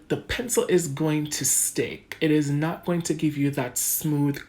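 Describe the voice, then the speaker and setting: Low-voiced Jamaican woman narrating makeup. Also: a little mellow. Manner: chatty, addresses viewers as guys, laughs often. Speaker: beauty vlogger. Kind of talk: casual makeup tutorial narration. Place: home room under bright lights.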